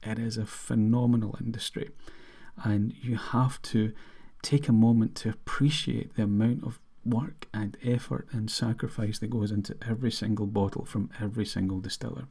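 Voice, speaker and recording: Middle-aged Scottish male podcast host, mellow low voice, earnest and measured delivery. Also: fairly even intonation, creaky, slightly rough. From Scotland. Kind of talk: introductory podcast monologue.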